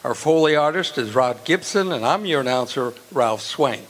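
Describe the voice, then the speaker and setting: A man's voice: medium pitch, animated, normal speed, a bit bright. A man announcing in a scripted old-time radio style.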